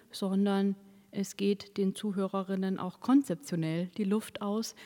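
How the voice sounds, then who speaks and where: A woman's voice: mid-range voice, mellow. Woman lecturing in a reverberant hall. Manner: measured, academic phrasing with occasional self-corrections. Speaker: academic lecturer. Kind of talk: formal read lecture.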